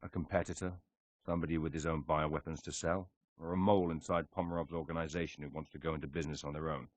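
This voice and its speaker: Terse, deep-voiced British secret agent. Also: male voice, level tone, fast, no room sound, lo-fi sound. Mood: businesslike, resolute. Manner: terse, dry, businesslike. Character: composed, determined male British secret agent. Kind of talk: game cutscene acting.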